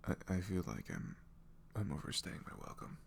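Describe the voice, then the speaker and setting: A young man playing an awkward, apologetic college-age ex-boyfriend in an intimate ASMR audio roleplay, speaking softly and haltingly, often close to a whisper. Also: deep voice, big pitch swings, vocal fry, slight background noise, exaggerated voice. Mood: apologetic, hesitant. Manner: hesitant, halting, trailing off.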